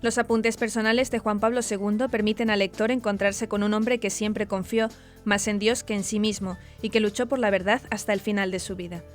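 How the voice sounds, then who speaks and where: A woman's voice: medium pitch, steady tone. A woman news presenter, reading a script formally and evenly. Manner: formal, measured newsreading. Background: clearly audible background music.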